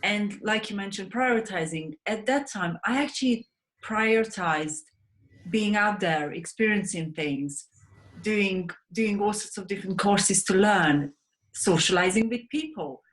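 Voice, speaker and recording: A woman in her thirties with a Hungarian accent talks earnestly as an interview guest, often restarting her sentences as she tells her story. Her voice sits at a middle pitch for a woman, and she speaks over a video call. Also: measured pace, poor audio. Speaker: guest, sleep advocate. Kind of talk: interview conversation.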